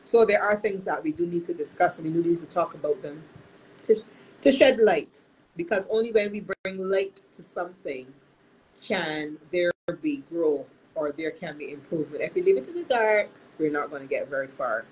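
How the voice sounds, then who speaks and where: A woman hosting a live-streamed talk as a counselor, sounding nasal from illness and speaking in an earnest, measured way with frequent restarts. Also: fairly low pitch, animated, a little hiss or hum, rough recording. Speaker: host and counselor.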